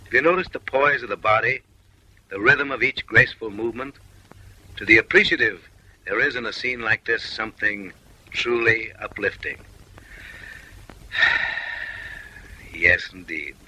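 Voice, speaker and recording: A male narrator delivers a comic film voice-over in a mock-refined, lecturing tone, slipping in sly, suggestive asides. His voice sits a little low but has a hard, piercing brightness that cuts through. The delivery stays wry and knowing throughout. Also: unhurried, pauses often, rough recording.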